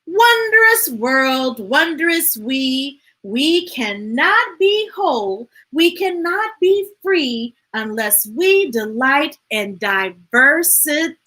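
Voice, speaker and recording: A woman hosting a children's storytime, reading aloud in an expressive, playful, emphatic way with chatty asides to viewers. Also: high-pitched, slow, hardly any pauses.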